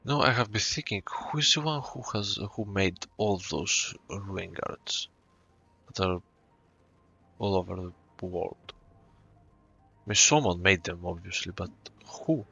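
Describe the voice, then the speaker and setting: A man giving casual gameplay commentary as a streamer, musing out loud and reacting offhandedly. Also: low voice, creaky, husky, dull audio. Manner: unhurried musing, casual swearing. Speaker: gaming streamer.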